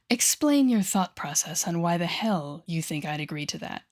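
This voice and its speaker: A woman playing an intense, coaxing female telepathic villain with a secretly tender side in an intimate audio roleplay, speaking softly and often whispering with deliberate dramatic pauses. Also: fairly low pitch, lively intonation. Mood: incredulous, sardonic. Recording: background music under the voice.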